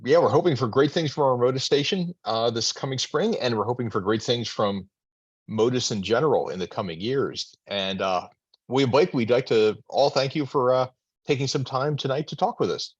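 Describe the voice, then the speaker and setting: A man with a husky, breathy voice talks in a loose, rambling way, giving casual remarks to fellow society members. The call audio is dry and dull, with a lo-fi sound. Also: mid-range voice, moderate pace, dry recording. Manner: rambling, full of fillers and restarts.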